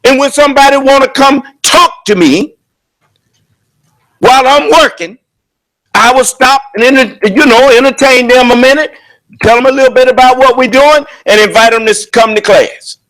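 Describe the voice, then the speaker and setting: A man preaching a sermon at a prayer service in a loud, booming voice, driving his points home with rhetorical questions. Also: moderate pace, rough recording.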